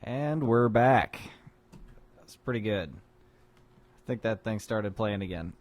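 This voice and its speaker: A man's voice: medium pitch, expressive, slow. A man co-hosting a loose live-stream talk show, rambling and self-mocking. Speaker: co-host.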